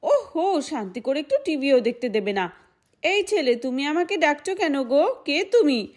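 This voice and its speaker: Woman voicing a scolding, high-voiced jealous rich woman. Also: big pitch swings, moderate pace, darkish tone. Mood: irritated. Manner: scolding, dismissive. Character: jealous, irritable rich woman, a doll character.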